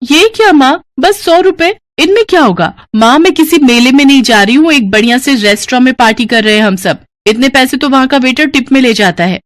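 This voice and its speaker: A woman acts out a college-age daughter in a cartoon moral tale. The voice is pitched up and whiny. She comes across as spoiled, petulant and sarcastic. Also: lively intonation, fairly quick, few pauses, no room sound. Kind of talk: Hindi moral cartoon story acting.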